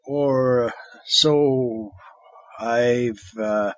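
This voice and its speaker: Pompous, theatrical mad scientist. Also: male voice, medium pitch, extremely slow, dull audio. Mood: sly, flustered. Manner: theatrical, pompous, flustered backtracking. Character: giggly, self-absorbed mad scientist, gleefully scheming. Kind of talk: comedic audio drama acting.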